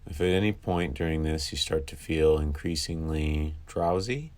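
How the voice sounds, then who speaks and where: A man leading a guided sleep meditation, calm and instructive, with long pauses between phrases. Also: extremely low pitch.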